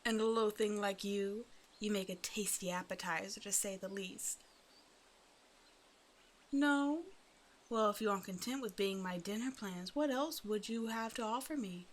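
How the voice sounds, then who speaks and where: A woman's voice: medium pitch, normal speed, slight background noise, natural voice. A woman voicing a hungry half-snake naga in an ASMR roleplay, teasing and condescending, with mock-sweet menace. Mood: playfully threatening. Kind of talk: ASMR roleplay audio drama, addressing the listener.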